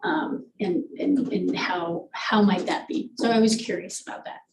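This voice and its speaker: Soft-spoken woman in an echoey boardroom. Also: on the low side, animated, unhurried. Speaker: school board member. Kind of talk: board meeting discussion. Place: large meeting room. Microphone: desk microphone from afar. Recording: echoey room, distant mic, faint hiss.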